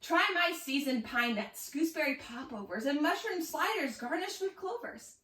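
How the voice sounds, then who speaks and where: Woman playing a gentle Bigfoot, lilting and echoey. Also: sits high, lively intonation, normal speed, pitched above the performer's own voice. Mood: welcoming. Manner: soothing, kindly, polite. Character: gentle, lonely, hospitable male Bigfoot. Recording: echoey room, distant mic.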